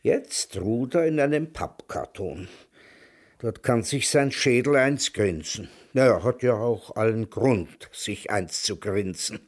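A slow, sardonic old intellectual. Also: male voice, on the low side, lively intonation, a little mellow, no room sound, clean studio sound. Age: older. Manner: deliberate, ironic, literate. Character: sardonic, melancholy old coffeehouse intellectual, widowed and lonely. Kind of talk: radio drama acting.

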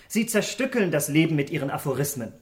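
Impulsive, self-absorbed young gentleman in radio play; lighter high register, earnest theatrical delivery. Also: very animated, fast talker. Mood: uneasy. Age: young adult. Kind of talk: literary radio drama acting.

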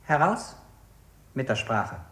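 An actor playing a brisk, mocking interrogator who badgers a prisoner in a 1960s radio play. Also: male voice, medium pitch, sing-song, fairly bright tone. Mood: badgering. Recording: very echoey room, slightly distant.

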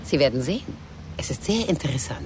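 A woman's voice: a bass voice, sing-song, fast talker, background noise. An actress playing a shrewd middle-aged mother in a radio crime drama, chatty and probing with a sardonic edge. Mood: brisk, sociable.

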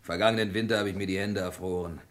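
An actor playing a plain-spoken, defensive village farmhand in a German radio drama, justifying himself. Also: male voice, low-pitched, steady tone, fast talker, a bit bright.

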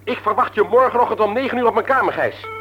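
An actor playing a stern, patronising man in authority in a radio drama, lecturing emphatically in a husky voice. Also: high voice, quick pace, highs cut off, natural voice. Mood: indignant, uneasy. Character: stern, patronising older brother and works supervisor, adult man.

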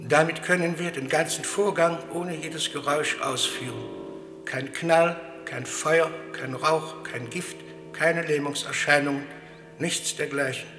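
An actor playing a dutiful, coolly zealous professor in a German radio play, reciting science in a precise, clipped manner. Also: male voice, on the high side, fairly even intonation, a little slow, lightly acted. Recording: echoey hall reverb, music behind.

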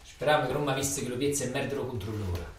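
A man's voice: medium pitch, fast talker. A man with a Neapolitan accent delivers a theatrical monologue in a colloquial, emphatic, repetitive storytelling manner. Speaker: stage monologue actor. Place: roomy interior, far from microphone. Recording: distant echoey room, faint noise.

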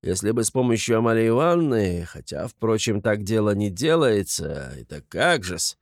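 A man voicing a pompous, evasive middle-aged gentleman in an audiobook, muttering in formal, halting phrases. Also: on the low side, sing-song, dry recording, clean studio sound. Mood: flustered hedging. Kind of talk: dramatized literary audiobook.

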